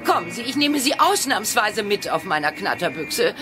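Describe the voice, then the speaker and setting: A woman's voice: medium pitch, sing-song, normal speed, music in the background, natural voice. A woman playing a sassy, teasing female reporter in a children's audio drama, chirpy, mocking and theatrical. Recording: radio play with clear background noise.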